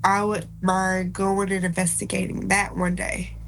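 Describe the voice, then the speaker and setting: A woman, sounding congested and a little nasal, telling ghost stories slowly and plainly in a casual podcast chat. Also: mid-range voice, completely flat delivery, vocal fry, music in the background. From South Carolina. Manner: slow, folksy storytelling. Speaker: paranormal investigator guest. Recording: faint background noise.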